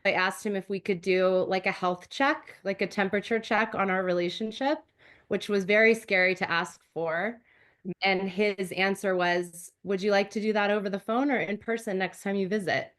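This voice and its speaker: A woman speaks candidly about herself in a medium-pitched voice. She is a group member sharing a testimonial. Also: fairly even intonation, moderate pace, a bit bright.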